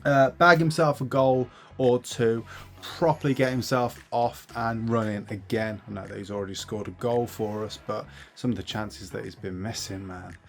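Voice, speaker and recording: Rambling young Brummie football fan. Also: male voice, on the low side, steady tone, moderate pace, gravelly. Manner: chatty, rambling, self-mocking. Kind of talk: casual vlog to camera. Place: outdoors around a football stadium.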